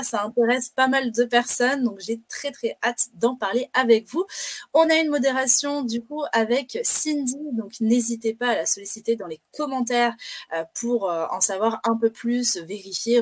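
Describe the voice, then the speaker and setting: A woman hosts a live stream in a bubbly, chatty way, her fairly high voice a touch husky. The sound is dry and close, with no room around it. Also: no room sound. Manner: bubbly, chatty, many fillers. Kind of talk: hosting a live careers stream.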